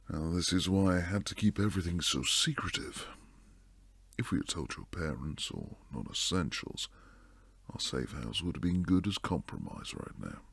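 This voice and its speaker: Man performing a protective bodyguard boyfriend, male, gravelly fry, intimate ASMR roleplay, earnest, close and dry recording. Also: no echo, heavily acted. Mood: calm, explaining. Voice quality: low, husky, gravelly. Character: protective, devoted male bodyguard, secretly his royal charge's lover. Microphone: close, dry studio mic.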